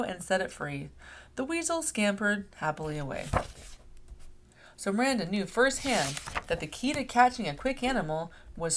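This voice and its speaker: A woman reading a picture book aloud to young children in an expressive storytelling manner. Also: mid-range voice. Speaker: read-aloud host. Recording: clear background noise.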